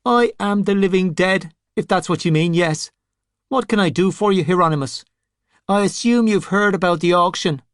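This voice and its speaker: A man's voice: high-pitched, moderate pace, no room sound, studio quality, pitched above the performer's own voice, lightly acted. A man voicing a vain, scheming zombie man who speaks smugly and peevishly. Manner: smug, wheedling, peevish. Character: scheming undead male zombie, vain and sly.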